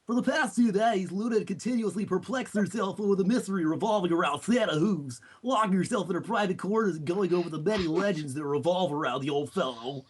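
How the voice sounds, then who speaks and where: A man's voice: high voice, fairly quick, few pauses, slightly rough. A man voicing a nerdy cartoon turtle who earnestly narrates a storybook tale. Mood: earnest narrating. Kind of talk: scripted storybook narration read in a character voice.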